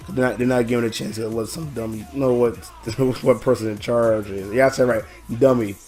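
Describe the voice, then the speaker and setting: A hoarse man hosting his own channel, rambling through a casual reaction video with many halting restarts. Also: mid-range voice, fairly even intonation, darkish tone, faint music behind. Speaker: channel host.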